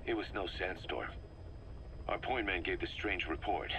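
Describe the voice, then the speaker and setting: An actor playing a grim, embittered mercenary commander, recounting things with intense deliberation in a dramatic video game style. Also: male voice, low-pitched, lively intonation, moderate pace, bright, forceful tone, heavily acted. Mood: grim, haunted. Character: embittered, driven male mercenary commander briefing his boss. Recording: faint noise, cassette tape recording.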